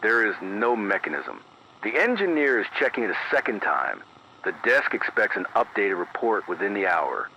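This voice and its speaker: Male actor as grave emergency radio announcer for analog horror drama: low, forceful, formal and deliberate, heard over dull AM radio. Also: full character voice. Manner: measured, formal, deliberate, precise. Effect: AM radio filter. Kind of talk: scripted analog horror emergency news bulletin. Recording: dull band-limited AM radio, faint noise.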